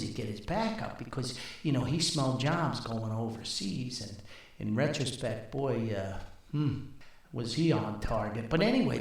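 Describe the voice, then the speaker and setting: Animated older man in a very echoey room. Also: mid-range voice, gravelly. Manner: folksy, wry, animated, halting with long asides. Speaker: podcast host and author. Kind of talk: rambling casual storytelling.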